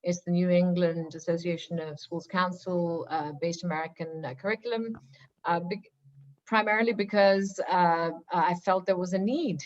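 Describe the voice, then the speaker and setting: A woman from Singapore speaking on a webinar panel as a school founder, lively and chatty with many fillers. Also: fairly low pitch, moderate pace. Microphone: video call. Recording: dull lo-fi video call audio.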